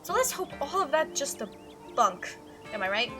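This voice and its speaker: A woman voicing a chatty, excitable young female cryptid hunter from a visual novel, telling stories in an animated, gushing way. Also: sits high, normal speed, music in the background. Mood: musing, earnest. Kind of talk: visual novel character read-aloud.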